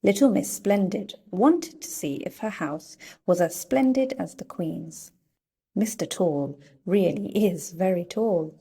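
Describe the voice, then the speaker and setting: Slow, soft-toned woman reading a storybook. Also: fairly low pitch, animated, creaky, husky. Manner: playful, deliberate storytelling. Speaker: children's story reader. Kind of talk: read-aloud storybook narration.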